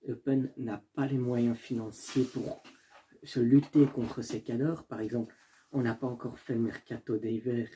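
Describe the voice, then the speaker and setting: A man's voice: mid-range voice, fairly even intonation, normal speed, mellow, slight background noise, low-quality recording. A young man hosting a casual solo football video, thinking aloud and often stopping to correct himself. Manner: talks as he thinks, with restarts and self-corrections; stumbles over names and apologizes.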